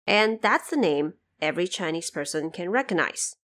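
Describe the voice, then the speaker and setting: Woman doing podcast explainer narration, kinda low voice, informal but scripted. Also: lively intonation, moderate pace, a bit bright. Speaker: podcast host.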